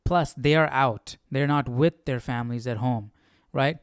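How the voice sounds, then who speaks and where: A man's voice: medium pitch, measured pace, clean tone, no echo, clean studio sound. A man hosting a Bible study stream, talking through scripture casually and musingly, often checking in with rhetorical questions. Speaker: host of a Bible study livestream. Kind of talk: casual conversational scripture commentary.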